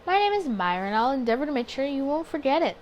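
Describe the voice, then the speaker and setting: A woman voicing a suave, flirtatious man at a 1920s bar in a visual novel, with a teasing, smooth manner. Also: high-pitched, big pitch swings, fast, a little hiss or hum.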